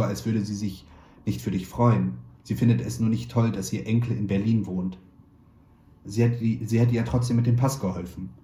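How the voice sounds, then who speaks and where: A man reading a short story aloud in a calm, measured way, lightly voicing the dialogue. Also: on the low side, echoey room. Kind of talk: literary reading.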